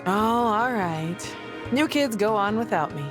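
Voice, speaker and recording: A woman's voice: medium pitch, lively intonation, unhurried, close to an everyday voice. Lady doing laid-back game mom, middle aged royal, airy n swoopy, music under. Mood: breezy, fond. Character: easygoing, indulgent middle-aged royal mother. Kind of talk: video game cutscene acting.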